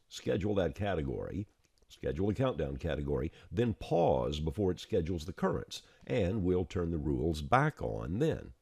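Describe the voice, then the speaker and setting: A man with an extremely deep voice narrates a step-by-step software tutorial. His tone is dark and somewhat husky, while his pitch moves around in a lively way. The delivery is plain-spoken and informal. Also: no room sound, clean studio sound.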